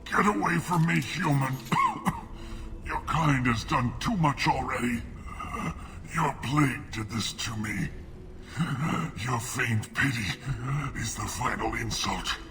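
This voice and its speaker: An actor playing a bitter, plague-stricken alien in a video game, sick, weakened and halting. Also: male voice, mid-range voice, bright, forceful tone, slight background noise. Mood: bitter, angry. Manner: slow, embittered, halting. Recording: reverberant hall sound, music behind.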